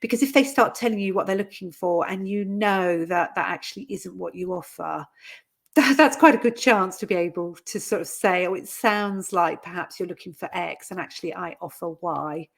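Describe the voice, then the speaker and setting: Gravelly, husky woman coaching informally. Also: fairly low pitch, moderate pace. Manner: conversational, explanatory, hedging with "sort of" and "you know". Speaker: coach and trainer for therapists. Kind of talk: informal instructional talk, acting out example client phrases.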